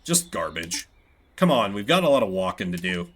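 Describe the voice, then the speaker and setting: A man voicing a gruff, impatient lone mouse warrior in a video game, terse and dismissive. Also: deep voice, big pitch swings, moderate pace, a bit bright, voice pushed down, exaggerated voice.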